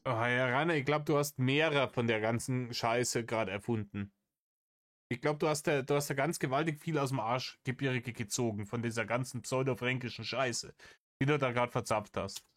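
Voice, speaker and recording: A man's voice: mid-range voice, normal speed, a bit bright. A man giving satirical reaction commentary in an exasperated, sarcastic manner, swearing freely.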